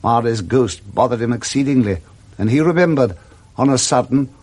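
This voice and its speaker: A man narrating a classic story for 1960s radio in a measured, theatrical storytelling manner. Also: medium pitch, expressive, a little mellow. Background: music.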